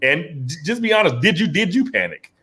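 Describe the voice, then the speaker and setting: A man's voice: a little high, very animated, fairly quick, bright, forceful tone. A man in his forties hosting a casual conversation, laid-back and slangy, often repeating words as he talks. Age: 40s. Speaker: podcast host.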